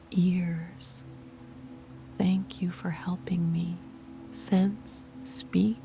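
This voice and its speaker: A woman whispering soft, soothing guided meditation instructions. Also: on the low side. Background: music.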